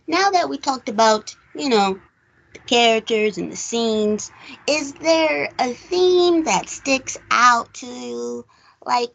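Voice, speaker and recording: A woman's voice: fairly high pitch, gravelly. A woman hosting a casual film discussion, rambling conversationally and thinking aloud as she goes. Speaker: host of a film recap show.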